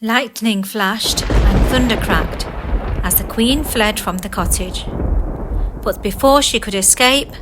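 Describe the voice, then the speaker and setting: A woman narrating a scripted children's fairy tale in an expressive, deliberate way. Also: medium pitch, noisy background. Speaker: storyteller.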